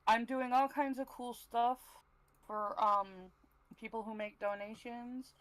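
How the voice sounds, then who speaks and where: A woman chatting casually as a livestream panelist, halting and easily flustered as she speaks. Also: fairly high pitch, fairly even intonation, fairly bright tone, no echo, low-quality recording. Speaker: panelist on a talk show.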